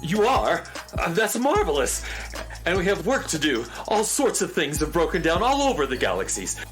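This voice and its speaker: A woman doing a low-voiced, fussy space handyman. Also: very animated, moderate pace. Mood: flustered. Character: fussy, well-meaning male handyman of space. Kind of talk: children's radio drama. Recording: music playing behind.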